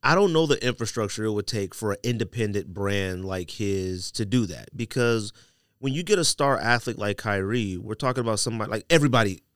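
A man's voice: lowish voice, animated, fairly quick, clean tone, no echo, studio quality. A man hosting a podcast in casual conversation, with blunt, opinionated, slangy talk. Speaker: podcast host. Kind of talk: casual chat about sneakers. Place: studio.

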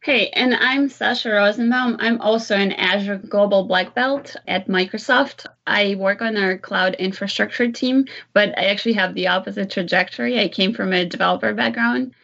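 A woman's voice: mid-range voice, normal speed, creaky, few pauses, a bit bright, highs cut off. A woman who grew up in Ukraine and Israel, speaking as a guest in a podcast interview in a fluent, upbeat, matter-of-fact way. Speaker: guest, cloud engineer.

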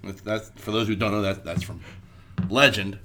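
Chatty congested dude, kinda low voice, lots of "like", cusses a bunch. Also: male voice, fairly even intonation, normal speed, sharp tone, slight background noise. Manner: rambling, swears freely, fills with "like". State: congested from a cold. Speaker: podcast co-host. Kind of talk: casual chat.

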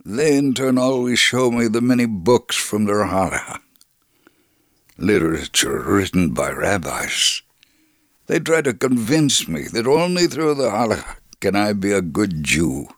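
A devout, patient older man recounts his ministry. His voice is on the low side, in a dry, close radio recording. It is delivered as a dramatic memoir reading. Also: animated, unhurried, no echo. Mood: measured, reflective.

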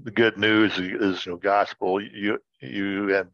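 A man's voice: fairly low pitch, fairly even intonation, a little slow, vocal fry, fairly bright tone. A man co-hosting a casual talk show, joking around with playful wordplay. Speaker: show co-host. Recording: dull lo-fi, roomy echo.

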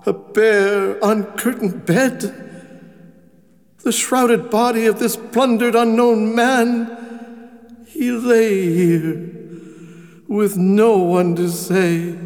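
An anguished, elderly miser in a radio drama. His halting, theatrical voice rises very high with fear and drags out each phrase. It rings through a long reverberant space with music clearly behind it. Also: darkish tone. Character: anguished old miser pleading with a spirit.

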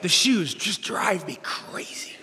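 A middle-aged pastor with a loud voice preaching, animated and self-deprecating, with sudden loud bursts as he tells stories. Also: high-pitched, slow, a little hiss or hum. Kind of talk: sermon. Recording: long hall reverb.

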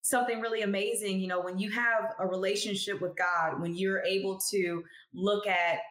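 Expressive woman in a roomy space. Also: medium pitch, normal speed. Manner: expressive storyteller who acts out quoted people. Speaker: guest, lab chemist. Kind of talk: casual interview talk. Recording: roomy echo.

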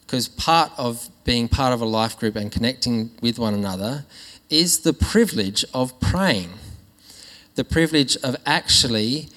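A man's voice: medium pitch, measured pace. Guy preaching in a church, chatty and kinda rambly, voice rings around a big hall. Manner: warm conversational preaching, with restarts and "actually". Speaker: pastor. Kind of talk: sermon. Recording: long hall reverb.

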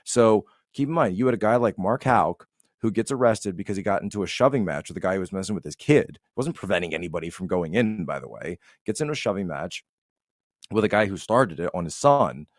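A man's voice: low voice, animated, a little fast, dry recording, studio quality. A man giving conversational political commentary as a podcast guest, emphatic and repeating phrases to drive points home.

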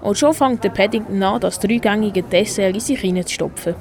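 A woman's voice: medium pitch, a little fast, a little mellow. A woman with a Swiss German accent narrating a scripted radio play for children. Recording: faint background noise.

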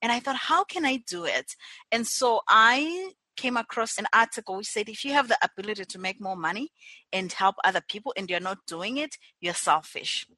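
A woman's voice: mid-range voice, animated, moderate pace, sharp tone, no echo. A woman from Zimbabwe, a podcast guest telling her story earnestly in long connected stretches with small restarts. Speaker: guest, entrepreneur. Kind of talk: conversational interview storytelling.

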